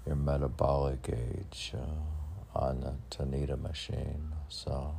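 A man with a very deep, mellow voice talks slowly and haltingly. He moves between reading verse and offhand chat in a casual way. There is faint noise behind him. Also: little pitch movement, gravelly.

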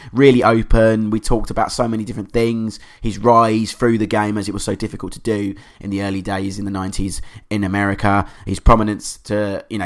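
A man hosting a podcast, hoarse from a cold, chatting in an enthusiastic, rambling way. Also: fairly low pitch, fairly bright tone. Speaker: podcast host. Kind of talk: casual podcast monologue.

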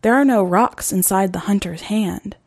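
A woman narrating a short story in a measured, dramatic way, as a podcast host reading scripted fiction. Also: medium pitch, darkish tone, no room sound, studio quality.

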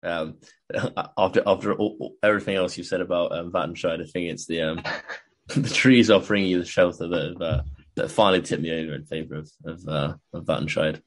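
Low-voiced man, halting chat. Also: fairly even intonation. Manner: hesitant, many ums and restarts, chuckles often. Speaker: podcast co-host. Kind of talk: casual chat.

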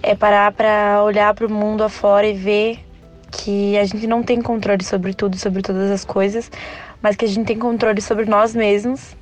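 A woman in her twenties speaks calmly and evenly, giving earnest advice. Light music sits under her. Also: mid-range voice, normal speed. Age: 20s. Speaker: interviewee. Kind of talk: short testimonial.

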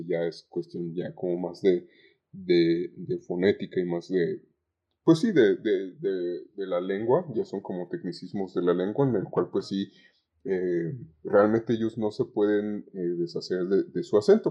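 A young Mexican man talking conversationally as a podcast guest about his experiences, with halting phrasing and repeated words. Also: on the low side, expressive, warm tone. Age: young adult. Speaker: podcast guest, call center agent. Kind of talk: conversational interview, recounting work anecdotes. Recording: dull, lo-fi sound.

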